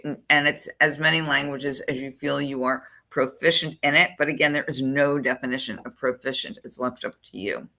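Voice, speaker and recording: Admissions counselor, screen-share tutorial, gravelly fry, bright forward tone, chatty, thinks aloud. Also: medium pitch. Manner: chatty, thinks aloud while clicking through forms.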